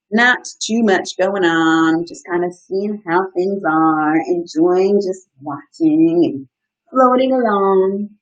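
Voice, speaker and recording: A woman in her thirties, an educator reading to children in an animated, questioning way and explaining as she goes. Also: on the low side, rough recording. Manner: animated, asks the listeners simple questions. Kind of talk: interactive children's story time, reading and explaining.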